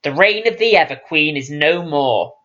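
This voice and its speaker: A man's voice: a little high, expressive, normal speed, sharp tone, muffled recording. A man voicing a proud, contemptuous and menacing elf queen in a fantasy audiobook. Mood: scornful. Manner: imperious, contemptuous.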